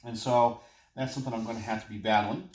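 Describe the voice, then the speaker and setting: A man's voice: medium pitch, fairly even intonation, fast talker. A man with a nasal voice hosting his channel, talking casually and off the cuff into his phone in a home garage, with frequent ums and asides. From Texas. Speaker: channel host. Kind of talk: casual vlog talk. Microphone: phone camera.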